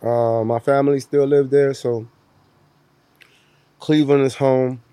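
A man's voice: medium pitch, level tone, a little slow, dark tone, clean tone, dry recording. A man in his forties from Puerto Rico, a guest musician talking casually and laughing often. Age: 40s. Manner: laid-back, laughs often, repeats "you know what I'm saying". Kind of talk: casual interview chat.

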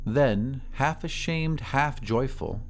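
A man narrates an audio story at a medium pitch, with faint music underneath. His reading is deliberate and expressive, phrased like dramatic literary narration.

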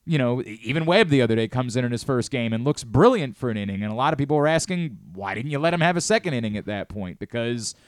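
A man's voice: medium pitch, expressive, fast, hardly any pauses, no echo. A man hosting sports talk radio in a studio, giving his opinions with emphasis.

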